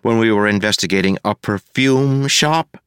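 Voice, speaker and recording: A man's voice: lowish voice, normal speed, fairly bright tone, clean tone, voice pushed up, close to an everyday voice. A man voicing a level-headed young woman constable who teases dryly. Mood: dry teasing.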